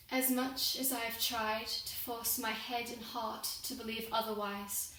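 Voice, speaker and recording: Young woman acting a slow, echoey, guilt-ridden penitent worker. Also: a little high, sharp tone. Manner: solemn, deliberate, formal oratory. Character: guilt-ridden, devout working woman of the industrial era, pleading. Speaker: student performer. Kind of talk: dramatic monologue, confessional prayer. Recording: very echoey room, distant microphone.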